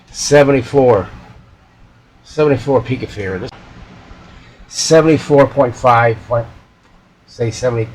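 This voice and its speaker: A man's voice: mid-range voice, a little hiss or hum, low-quality recording. A man giving casual, unscripted commentary as a repair hobbyist, folksy and muttering to himself.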